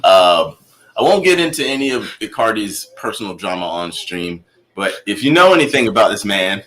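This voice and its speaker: A man hosting a casual football watchalong stream, excitable and quick to laugh. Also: lowish voice. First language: not Spanish. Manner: excitable, laughs a lot, talks in quick bursts. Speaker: host.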